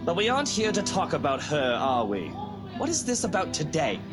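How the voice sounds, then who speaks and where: A man's voice: fairly high pitch, wildly swooping pitch, fairly quick, over music. A man playing a haughty, sardonic aristocratic husband. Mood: wry. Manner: haughty, drawling sarcasm. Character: sardonic, pompous aristocratic husband who likes his drink. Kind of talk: visual novel character acting.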